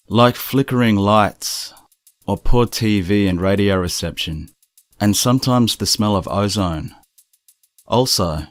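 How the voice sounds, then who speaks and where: A man reading scripted first-person stories as a narrator, in a measured, serious manner. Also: sits low, no room sound. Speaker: narrator of strange true stories.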